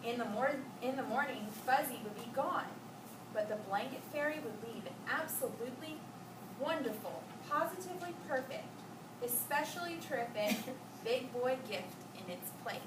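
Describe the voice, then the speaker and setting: A woman reading a storybook aloud to children in an expressive way, pausing to ask questions and echo their answers. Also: high-pitched. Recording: echoey, noisy room, distant mic.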